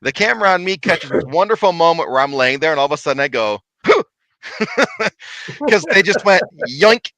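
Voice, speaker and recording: A man telling a lively, laughing story from his paranormal investigations in casual chat. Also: fairly high pitch, moderate pace, no echo. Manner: animated, laughing, big voice.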